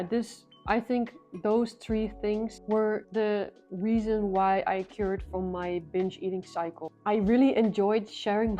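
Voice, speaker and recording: A woman's voice: mid-range voice, mellow. A young Dutch woman with an accent, speaking English as a second language, telling a personal story in an earnest vlog with frequent restarts. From Netherlands. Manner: earnest, restarts phrases often. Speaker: vlogger.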